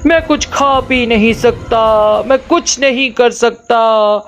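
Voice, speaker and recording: A woman voicing a grieving, doting king in a cartoon story, pleading and wailing. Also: on the high side. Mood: weeping, pleading. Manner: wailing, pleading, drawn-out delivery. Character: grieving remorseful king, doting father of a princess. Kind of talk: Urdu cartoon storybook dialogue. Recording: dull lo-fi audio with music behind.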